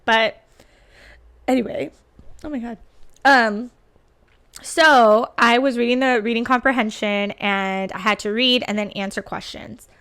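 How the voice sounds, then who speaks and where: A woman's voice: a little high, fairly even intonation, a little slow, long pauses, a bit bright, smooth. A young adult woman chatting casually about her test experience, often saying "girl" and "like". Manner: chatty, says "girl" and "like" a lot. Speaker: teacher candidate sharing test experience.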